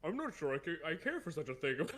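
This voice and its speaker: A man's voice: on the high side, a bit bright. A man voicing a flustered, easily confused old male judge, stammering and hesitant, reading game dialogue aloud. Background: game music.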